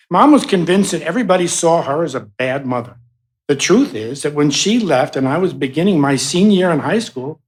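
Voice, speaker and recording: A man acting in a radio play as a grieving, hopeful young son giving an earnest, measured eulogy for his mother. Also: on the high side, natural voice, low-quality recording. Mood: grateful, reflective.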